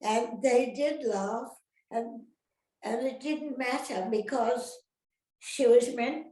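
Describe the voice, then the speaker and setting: Slow-talking older woman with a high voice. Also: dark tone. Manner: rambling, halting, often questioning her listeners. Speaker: retired actress being interviewed. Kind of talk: casual reminiscing interview. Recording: roomy, echoey sound.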